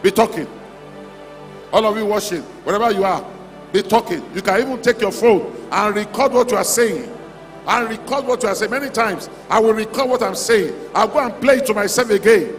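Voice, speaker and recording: High-voiced preacher in a reverberant hall. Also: male voice, fairly quick. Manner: exhorting, repetitive short declarations. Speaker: preacher leading a convention. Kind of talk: charismatic prayer-and-declaration sermon. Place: large hall at a church convention. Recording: echoey hall PA, music underneath. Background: music.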